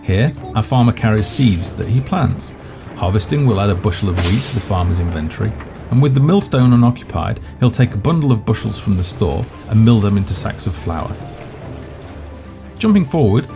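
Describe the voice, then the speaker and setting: A man presenting a video game in scripted walkthrough narration, measured and explanatory. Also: fairly low pitch. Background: background music.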